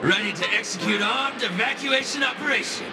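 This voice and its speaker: A man's voice: very high-pitched, brisk. A man voicing a brash, officious corporate security team leader in a game, barking out orders. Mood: brash, commanding. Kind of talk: game voice acting. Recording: loud music under the voice.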